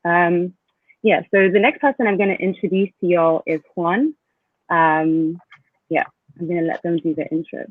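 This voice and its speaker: A man hosts an online panel, talking in a casual, halting way with many restarts. His voice sits a little high. It comes through a tinny, phone-like line with a dry sound. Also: steady tone, no echo. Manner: hesitant, restarts often, many fillers. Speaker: panel host. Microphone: video call.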